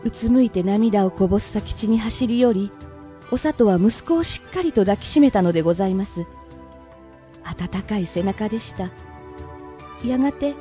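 A woman's voice: mid-range voice, mellow. A woman narrating a radio drama in the polite manner of an old-tale storyteller.

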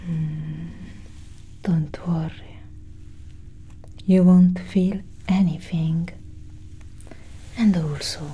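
Woman playing a whispering, slow alien scientist. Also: lowish voice, full character voice. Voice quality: whispery, breathy. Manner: slow, hushed, reassuring. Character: calm, condescending female alien scientist, soothing her abductee. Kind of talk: soft-spoken ASMR roleplay. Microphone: close ASMR microphone.

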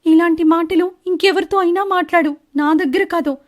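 Cartoon wife bird, played by a woman, high voice, evasive, quick to protest and act wronged. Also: steady tone. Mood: indignant. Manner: defensive, protesting. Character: Chinnu, deceitful married female bird, indignant and evasive. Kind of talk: animated Telugu moral-story dialogue.

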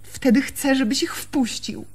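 A troubled young woman in love in a radio drama. Her voice sits high, and she confides in an intimate, brooding, literary way, haunted and anxious. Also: a little slow, soft background music. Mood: agitated, torn. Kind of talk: Polish radio play acting.

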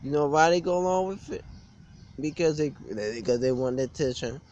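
Young man ranting, animated and high-pitched. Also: a little fast, highs cut off, a little hiss or hum. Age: young adult. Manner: blunt, repetitive, aggrieved. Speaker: gym vlogger. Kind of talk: informal opinionated rant to camera. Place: outdoors beside a brick building on a street. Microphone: phone or webcam at arm's length.

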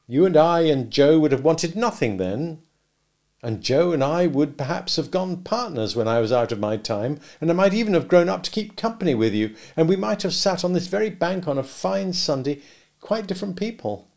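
A man's voice: mid-range voice, animated, moderate pace, pitched above the performer's own voice, close to an everyday voice. A man voicing a restless, discontented young village man who speaks earnestly and impatiently.

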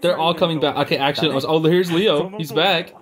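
A man giving casual, slangy live reaction commentary in an excitable, giggly, exclaiming manner. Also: on the high side, a little fast, a crowd in the background. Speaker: host of a reaction video.

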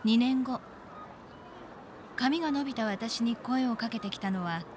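Actress as a wistful young woman, highish voice. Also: measured pace, noisy background, lightly acted. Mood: wistful, reflective. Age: young adult. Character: wistful young woman recalling her lost love. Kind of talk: audio drama dialogue and narration.

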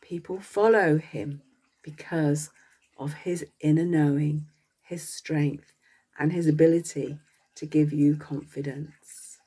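A woman narrating a guided meditation as a coach, speaking gently in short phrases with long pauses between them. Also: low voice, fairly even intonation, slightly rough.

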